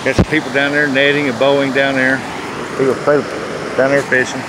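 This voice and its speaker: A man's voice: mid-range voice, little pitch movement. A middle-aged man, chatting casually in a folksy, plain-spoken way for an outdoor fishing vlog. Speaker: fishing vlogger. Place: outdoors on a rocky riverbank. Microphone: handheld phone at arm's length. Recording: noisy outdoor phone video, rushing water. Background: rushing river water and outdoor ambience.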